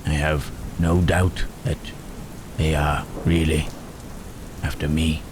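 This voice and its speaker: Very deep, halting, gentle monster acted by a man. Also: level tone. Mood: protective, cautious. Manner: halting, thoughtful, old-fashioned formal phrasing with murmured tags. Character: gentle, melancholy male Frankenstein's monster, protective and hesitant. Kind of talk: ASMR roleplay acting. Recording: rain and thunderstorm noise throughout. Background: rain and thunder.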